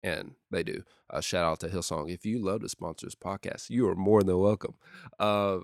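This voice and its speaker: A youth pastor with a deep voice, talking in a casual solo podcast with lots of ums and uhs. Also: male voice, normal speed, creaky, no echo, studio quality. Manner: steady and conversational with many ums and uhs.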